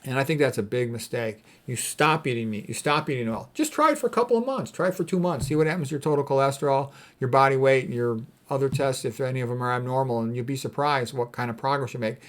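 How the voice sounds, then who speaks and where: A man's voice: mid-range voice, lively intonation, fast talker, hardly any pauses. Blunt, emphatic man giving health advice. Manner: blunt, opinionated, emphatic with repeated phrases. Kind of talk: informal commentary on read quotes.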